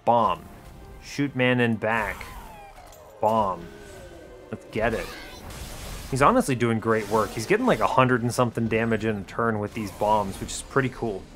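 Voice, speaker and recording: A man hosts a gameplay video with informal, explanatory commentary. His voice sits a little higher than average for a man. Faint music plays behind him as he talks through the play. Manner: informal, animated bursts, checks in with rhetorical "right?" and "okay?". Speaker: video game streamer, series host.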